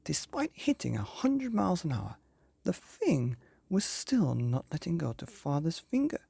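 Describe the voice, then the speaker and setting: A man tells a children's bedtime story as a podcast host. His voice is husky and swings widely in pitch, theatrical with drawn-out words and hushed phrases. The sound is close and dry. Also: wildly swooping pitch, dry recording.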